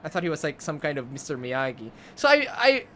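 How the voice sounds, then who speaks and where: A man's voice: on the high side, big pitch swings, fairly quick, bright, forceful tone. A young man giving casual live game commentary into a close microphone, rambling and chatty, often repeating himself. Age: young adult. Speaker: game streamer. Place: indoor streaming setup. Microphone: close desk microphone, headset on. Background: game music.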